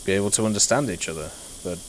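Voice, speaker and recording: Laid-back British streamer, low voice. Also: male voice, racing, a little hiss or hum, poor audio. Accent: British, softened by years in Canada. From Britain. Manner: laid-back, rambling, answers chat. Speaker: livestreamer. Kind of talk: casual gameplay commentary.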